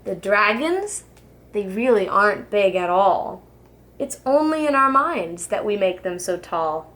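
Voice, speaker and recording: A woman reading the part of a wise, reassuring father king in a rhyming children's storybook, earnest, measured and instructive. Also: mid-range voice, very animated, fairly bright tone, pitched below the performer's own voice. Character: wise, reassuring father king writing to his young son.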